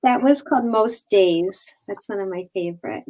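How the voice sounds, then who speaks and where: A woman author guest on a video call, answering questions earnestly and haltingly. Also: mid-range voice, dark tone, rough recording. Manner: earnest, halting, many fillers and restarts. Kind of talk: casual interview answers.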